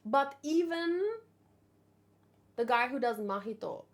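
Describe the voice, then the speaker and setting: A woman with a high voice chats casually as a streamer to her viewers. She thinks out loud and wanders into asides. Her delivery is animated and full of exclamation. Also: takes it slowly. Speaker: streamer hosting a manga read-along. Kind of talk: casual live chat, thinking aloud.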